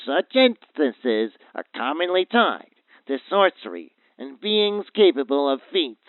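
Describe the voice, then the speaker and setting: An actor playing a grave, foreboding doctor of paranormal phenomena, speaking formally as a radio play guest over a phone line. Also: male voice, a little high, sing-song, no echo. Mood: grave, earnest. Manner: formal, ominous, deliberate wording. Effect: phone line filter. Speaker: expert guest calling in to a radio show. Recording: thin, tinny phone line.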